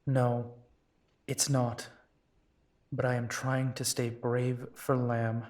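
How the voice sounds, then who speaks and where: A man's voice: mid-range voice, flat delivery, slow pace, darkish tone, dry recording, lightly acted. A man playing a young, protective and determined werewolf in an audio roleplay, speaking earnestly. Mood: worried, tender.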